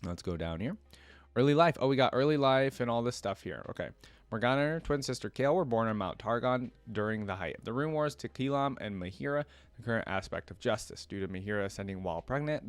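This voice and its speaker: A man's voice: lowish voice, lively intonation, quick pace, dry recording. A man hosting a reaction video, commenting casually and informally, thinking aloud as he browses and reads.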